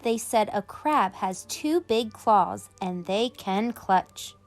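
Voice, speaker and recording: Animated woman reading to young children. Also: medium pitch, takes it slowly, faint music behind. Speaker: host of a children's read-aloud show. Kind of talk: expressive children's story read-aloud.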